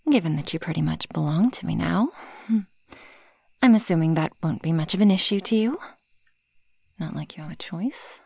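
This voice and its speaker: A woman voicing a possessive, proud female dragon in an ASMR roleplay, with formal, haughty phrasing and a breathy, husky voice that often drops toward a whisper. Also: deep voice, expressive, moderate pace, long pauses, darkish tone, dry recording, full character voice. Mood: possessive, smug. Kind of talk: ASMR roleplay addressed to the listener.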